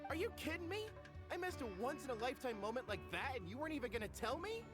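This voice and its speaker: A performer voicing a pushy, nosy mercenary in a video game, talking with animated outrage. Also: high voice, quick pace, over music. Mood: indignant. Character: nosy, indignant mercenary brigade member.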